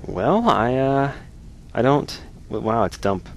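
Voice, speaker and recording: A man's voice: mid-range voice, very animated, takes it slowly, gravelly, a little hiss or hum. A man hosting a let's play, giving casual, wry, rambling commentary. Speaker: gaming video host.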